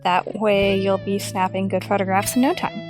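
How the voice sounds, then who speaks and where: A woman's voice: mid-range voice, fairly even intonation, moderate pace, over music, lightly acted, low-quality recording. An actress playing a bruised teenage girl who is bossy and teasing.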